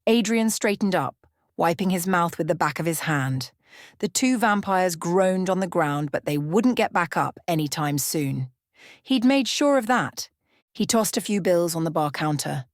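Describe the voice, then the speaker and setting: A woman storyteller with a fairly low voice reads scripted fiction for bedtime ASMR. The recording is dry, with very little room around her words. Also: lively intonation, measured pace, dry recording.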